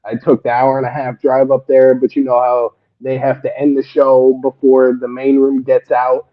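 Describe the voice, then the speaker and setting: A man's voice: mid-range voice, completely flat delivery, normal speed, phone-quality sound. A man, a stand-up comedian, chatting loosely in an interview about his start in comedy and laughing often. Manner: laughs often, rambling storytelling. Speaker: guest comedian. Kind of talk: casual interview chat.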